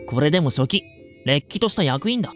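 A woman's voice: sits low, expressive, fast, soft background music. A woman playing an earnest, stiff teenage boy who is student council secretary in a voice drama, deferential in manner. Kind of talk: amateur voice drama acting.

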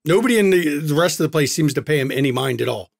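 A man running a tabletop game as game master, narrating with many pauses and restarts. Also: on the high side, expressive, vocal fry, no room sound, studio quality. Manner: halting, many uhs and restarts.

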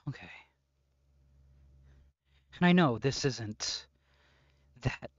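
A man playing an obsessive, unstable captor and lover in an intimate audio roleplay, halting and brooding, swinging between pleading and threat. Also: a little high, no echo, muffled recording. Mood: tormented menace. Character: obsessive, unstable male yandere captor, menacingly tender lover.

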